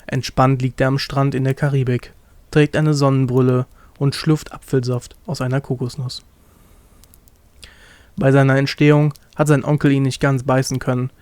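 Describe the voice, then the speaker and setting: A male narrator reads a comic short story from a script. His voice sits at an ordinary, middle male pitch, recorded very dry with almost no room around it. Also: a little mellow, no room sound.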